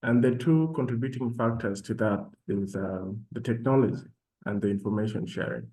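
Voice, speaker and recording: A man from South Sudan speaking as a panelist in an online session, with measured, formal phrasing. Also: medium pitch, mellow, low-quality recording. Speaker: panelist and program alumnus. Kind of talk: panel talk, self-introduction.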